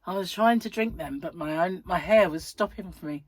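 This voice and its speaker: A woman hosting a crochet channel, chatting in a rambling, self-mocking way at home while her voice is croaky from a cold. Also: fairly low pitch, normal speed, slight background noise, poor audio. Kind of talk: casual chatty vlog. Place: at home, seated in a chair.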